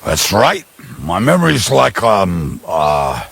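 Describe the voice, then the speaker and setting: An actor voicing a boozy, cheeky middle-aged ruffian in a video game, with a gravelly, husky voice and a rambling manner. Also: male voice, low-pitched, huge pitch swings, slow, fairly bright tone, exaggerated voice. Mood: cheeky, excited. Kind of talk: video game character acting.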